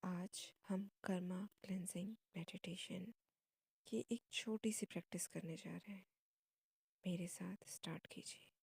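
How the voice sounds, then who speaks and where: A woman with an Indian accent guiding a meditation in a hushed, breathy manner, in short phrases with long pauses. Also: fairly low pitch, steady tone, dry recording. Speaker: meditation guide. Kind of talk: guided meditation.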